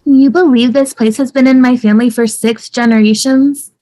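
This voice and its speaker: Upbeat young wife, read by a woman, high register, casual enthusiasm, sitcom script. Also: steady tone, brisk, mellow, lightly acted. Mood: excited. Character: upbeat young wife excited about inherited house.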